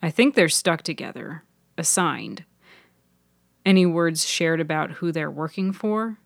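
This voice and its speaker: Female reader as hesitant young man, earnest, cautious, low-leaning voice, audio fiction dialogue. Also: lively intonation, a little slow, creaky, no echo, studio quality, exaggerated voice. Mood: wary.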